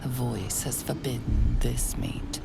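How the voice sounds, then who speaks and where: An actress playing an ominous storyteller in a dark fantasy game, narrating in a breathy, gravelly, deliberate voice that often nears a whisper. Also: female voice, very deep voice, steady tone, very noisy, full character voice. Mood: hungry, menacing. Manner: slow, menacing, deliberate, often near whisper. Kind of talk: scripted second-person game narration.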